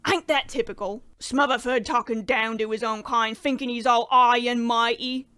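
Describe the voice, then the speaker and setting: A woman's voice: a little high, fairly even intonation, normal speed, pushed and bright, clear, resonant tone, no echo, clean studio sound. A woman playing a chirpy, anxious, loyal little monster chimney sweep in an old-time radio horror comedy, earnest and apologetic. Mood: huffy.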